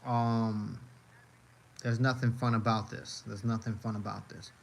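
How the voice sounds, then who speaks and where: A man's voice: fairly low pitch, little pitch movement, unhurried, creaky, a little hiss or hum. A man from the United States chatting casually on a live stream as he reacts to videos, rambling and repeating his phrases with many fillers.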